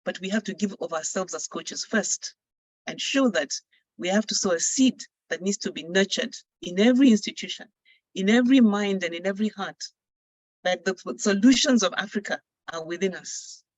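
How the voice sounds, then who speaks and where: A woman's voice: mid-range voice, moderate pace, no room sound, rough recording. Earnest Ghanaian woman moderating a panel. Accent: Ghanaian English. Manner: earnest, persuasive speaking. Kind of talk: online panel discussion. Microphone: video call.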